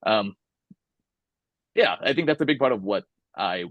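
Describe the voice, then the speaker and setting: A man's voice: lowish voice, expressive, measured pace, no echo, phone-quality sound. A young man from California, talking casually and thoughtfully in an oral history interview, with many fillers and restarts.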